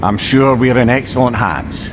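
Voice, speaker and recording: A man's voice: mid-range voice, normal speed, background noise, lightly acted. A man playing a wry, chatty, irreverent companion in a video game, teasing and wordy. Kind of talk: video game character acting. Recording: cinematic music behind.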